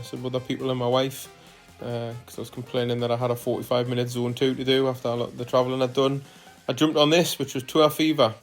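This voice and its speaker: A man narrating footage of his own rides as a cycling vlogger, relaxed and hesitant, with frequent fillers. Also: mid-range voice. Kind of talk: casual voice-over narration of ride footage.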